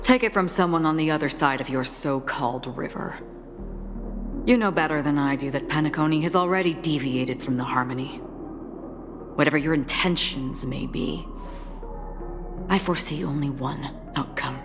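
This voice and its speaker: A woman acts out a stoic, enigmatic wanderer in a game cutscene. Her voice sits low and stays deadpan and unhurried, with quiet menace over a clear music bed. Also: natural voice. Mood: grave. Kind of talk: dramatic game cutscene acting.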